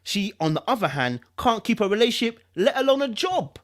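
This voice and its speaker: A man's voice: sits high, big pitch swings, fairly quick, voice pushed up. A man voicing a controlling husband who feigns concern with stammering, insistent talk in a dramatic book reading. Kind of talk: dramatic audiobook-style reading.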